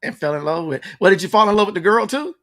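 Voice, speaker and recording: A man with a fairly high-pitched voice hosts a casual interview. He talks in a folksy, hyping way and likes to repeat words for emphasis. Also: expressive, fast, fairly bright tone. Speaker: interview show host. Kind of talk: casual talk-show interviewing.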